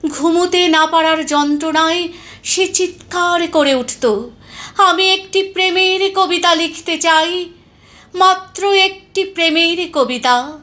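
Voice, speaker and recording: Very slow, high-voiced woman reciting poetry. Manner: deliberate, expressive, with dramatic pauses. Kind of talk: dramatic Bengali poetry recitation.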